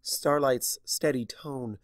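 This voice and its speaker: A man reading dramatic scripted story narration in an expressive, deliberate manner. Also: mid-range voice, no room sound, studio quality. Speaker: narrator of fan fiction.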